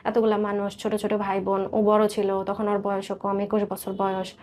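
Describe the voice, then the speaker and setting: A woman's voice: mid-range voice, completely flat delivery, normal speed, a little mellow, a little hiss or hum. A woman with a Bangladeshi accent recounting her life in an interview, earnest and detailed, often circling back over points. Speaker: guest telling life story. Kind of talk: personal storytelling in a radio interview.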